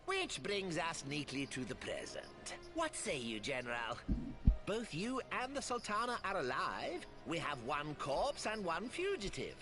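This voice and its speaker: An actor voicing a smug, scheming wealthy merchant lord in a video game, with pompous, mock-courteous, drawn-out delivery. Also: male voice, a little high, huge pitch swings. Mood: smug, calculating. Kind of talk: video game cutscene acting. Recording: game audio with music.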